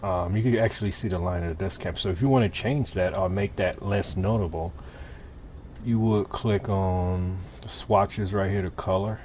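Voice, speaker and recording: A man with a low voice gives an informal tutorial, talking through each step while he demonstrates. His delivery is casual and conversational. There is some noise behind him on the recording. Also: normal speed. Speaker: tutorial presenter. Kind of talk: casual software tutorial narration. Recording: clear steady background noise.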